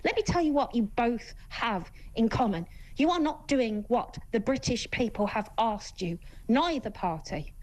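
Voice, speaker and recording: A woman phoning in to a talk radio show, shouting angrily and indignantly at two guests. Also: fairly high pitch, expressive, unhurried, rough recording. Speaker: caller. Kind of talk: angry phone-in rant.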